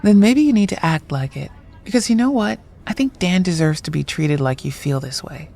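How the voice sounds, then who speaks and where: A woman playing a wry, blunt writer in her late thirties with a gravelly voice in an audio drama. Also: on the low side, lively intonation, normal speed, warm tone. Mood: exasperated, blunt. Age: late 30s. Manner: dry, blunt, quippy.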